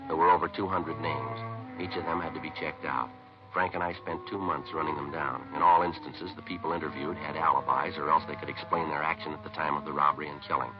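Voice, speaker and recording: An actor playing a terse, dogged police detective in a clipped, deadpan, matter-of-fact 1950s radio drama style. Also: male voice, rumbling low. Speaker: police detective narrator and lead. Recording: muffled vintage radio recording, music.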